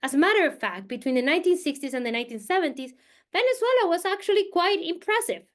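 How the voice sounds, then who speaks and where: High-voiced woman from Colombia lecturing. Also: lively intonation, quick pace. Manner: conversational, with fillers and emphatic repetition. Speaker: guest speaker, political scientist. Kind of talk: academic presentation to teachers. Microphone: video call.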